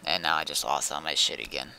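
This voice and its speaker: A man's voice: extremely low pitch, fairly even intonation, quick pace, gravelly, bright, forceful tone, smooth, poor audio. A man chatting casually as he streams a game, speaking in short, laid-back, mildly grumbling remarks. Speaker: game streamer and server owner. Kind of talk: casual live gameplay chat.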